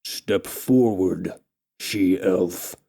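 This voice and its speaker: A huge orc king barks slow, stern orders in a raw, hoarse voice, as a man puts him on. The voice sits low and dark, heavy with menace. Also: heavily acted. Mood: commanding.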